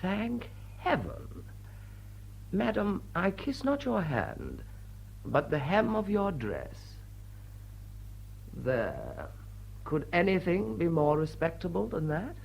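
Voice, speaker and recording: Gravelly, theatrical lord. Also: male voice, high voice, huge pitch swings, takes it slowly, pauses often, heavily acted, low-quality recording. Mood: gallant. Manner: florid, mock-pompous, emphatic. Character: dashing upper-class English playboy lord, gallant and theatrical. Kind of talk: period radio drama acting.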